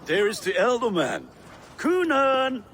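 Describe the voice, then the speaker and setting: Merry blacksmith, wildly swooping pitch. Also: male voice, wildly swooping pitch, takes it slowly, a bit bright, slight background noise, full character voice. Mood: friendly. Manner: boisterous, informal. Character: blunt, merry male Dane blacksmith, good-hearted. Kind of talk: video game cutscene acting.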